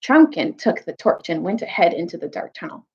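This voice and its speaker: A woman reading and retelling a story aloud in an expressive storytime style, like a teacher with students. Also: lowish voice, fairly quick, highs cut off. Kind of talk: storytime read-aloud with recap.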